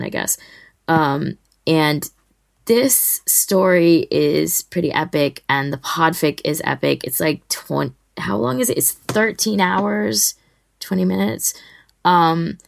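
A woman with a low voice full of gravelly creak talks casually as a podcast host. Her delivery is informal and enthusiastic, starting and stopping as she goes. The sound is dry, with no room echo. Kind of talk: casual chat, giving recommendations.

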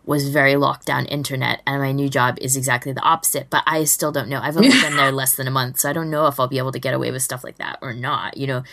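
A woman's voice: very deep voice, steady tone, fast, vocal fry, hardly any pauses, a bit bright, a little hiss or hum. A woman hosting a podcast in casual chat, talking informally and conversationally. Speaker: podcast host.

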